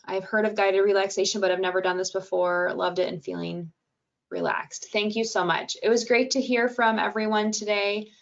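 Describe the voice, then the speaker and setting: A woman with a soothing voice presenting a wellness webinar, calm and gentle, with frequent fillers. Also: medium pitch, moderate pace, creaky, highs cut off. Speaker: webinar presenter and podcast host.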